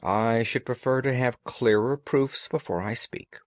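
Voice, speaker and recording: A man voicing a commanding, analytical gentleman detective in an audiobook, speaking precisely and formally. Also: medium pitch, unhurried, dry recording, clean studio sound, lightly acted. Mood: measured, reassuring. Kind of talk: audiobook character dialogue.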